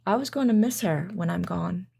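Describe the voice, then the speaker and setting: A woman's voice: lowish voice, moderate pace, creaky, mellow, breathy edge, exaggerated voice. A woman playing a loving mother in an audio drama, speaking in a reflective, sentimental way. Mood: wistful, tender. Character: loving, wistful mother recalling camping with her daughter. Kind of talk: audio drama narration and dialogue.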